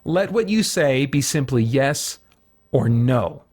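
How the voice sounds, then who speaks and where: A man hosting an informal Bible study for an online audience, talking in a casual, halting way as he thinks aloud with frequent asides. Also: mid-range voice, animated, darkish tone. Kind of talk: informal sermon, conversational Bible teaching.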